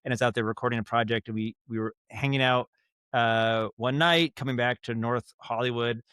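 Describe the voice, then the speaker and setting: A man with a medium-pitched voice talks as a podcast guest in a casual, conversational way. He tells stories in an animated, halting manner, acting out little noises as he goes. The sound is dry, with very little room. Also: smooth, no echo. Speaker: guest, audio drama writer and director. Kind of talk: casual podcast interview, storytelling.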